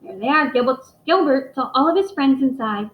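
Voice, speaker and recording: A woman teacher reading a picture book aloud to young children, expressive and speaking to them directly. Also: high voice, fairly quick. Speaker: elementary school teacher reading aloud to pupils. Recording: echoey room, dull muffled microphone.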